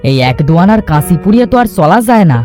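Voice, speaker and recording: A poor, weary older village father from a cartoon , acted by a woman, speaks plaintively with lively swings in pitch. He sounds devout, and music plays clearly behind him. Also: racing, lightly acted. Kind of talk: cartoon voice acting.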